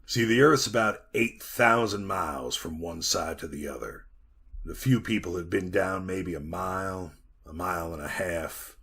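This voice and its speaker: Low, brooding old-radio mine guide. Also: male voice, normal speed, creaky, fairly bright tone, husky, exaggerated voice. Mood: brooding, confiding. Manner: folksy, colloquial storytelling. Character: mine guide recalling a dreadful past, brooding and cocky. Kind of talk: retro 1940s radio drama acting.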